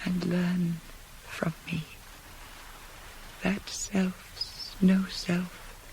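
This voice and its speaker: A woman's voice: lowish voice, flat delivery, extremely slow, darkish tone, rough recording. A woman playing a proud, anguished queen bent on death in a radio tragedy, declaiming and falling into intense whispers. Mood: hushed, resolved. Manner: heightened, declamatory, often dropping to intense whispers. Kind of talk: 1980s radio drama, Greek tragedy. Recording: hissy radio play taped on cassette.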